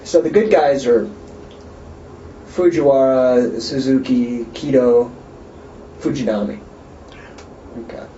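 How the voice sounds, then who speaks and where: A man's voice: medium pitch, slow pace, long pauses, warm tone. A man from California, interviewing in an easygoing way with short questions. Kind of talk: casual interview chat. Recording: distant, roomy, dull lo-fi with faint hiss.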